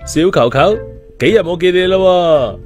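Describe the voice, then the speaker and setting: Male narrator as cocky young oddball, brash, casual, animated intonation, audiobook character. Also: high-pitched, moderate pace, soft background music, pitched above the performer's own voice. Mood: brash, chatty. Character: cocky, eccentric young male mental patient with healing powers.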